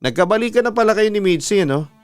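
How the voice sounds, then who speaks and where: A man's voice: high-pitched, lively intonation, fast talker, clear, resonant tone, no echo, soft background music, voice pushed up. A man voicing a heartbroken young woman in a dramatic story, bitter, pleading and accusing. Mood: hurt, sarcastic.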